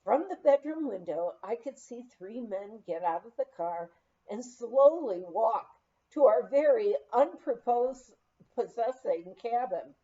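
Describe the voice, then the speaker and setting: A woman reading a memoir aloud in an expressive, unhurried storytelling manner. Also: on the high side, muffled recording. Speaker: audiobook reader.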